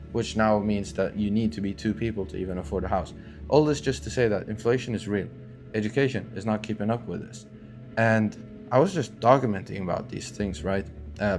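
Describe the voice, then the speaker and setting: A man's voice: on the low side, darkish tone. A man in his twenties talking earnestly in a loose, unscripted way, with many fillers and restarts, about his vision for entrepreneurship. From Syria, raised in Sweden. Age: 20s. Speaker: online entrepreneur. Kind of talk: talking-head motivational vlog.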